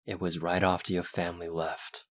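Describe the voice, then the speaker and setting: A man's voice: low voice, little pitch movement, moderate pace, a bit bright. Man acting an intense young werewolf heir. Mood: grave, wary. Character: grieving, defiant young male werewolf heir. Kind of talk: dramatic roleplay voice acting.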